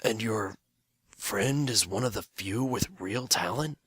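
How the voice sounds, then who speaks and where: A man's voice: lowish voice, unhurried, a bit bright, breathy edge, no room sound. A man voicing an old gray male unicorn, a royal counselor who speaks in a formal, archaic and hesitant way. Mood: solemn, bewildered.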